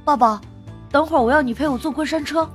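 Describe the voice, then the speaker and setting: A woman playing an innocent, excitable little boy in a Chinese audio drama. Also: high-pitched, fast talker, faint music behind, lightly acted. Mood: eager, cheerful. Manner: bright, childlike, excitable.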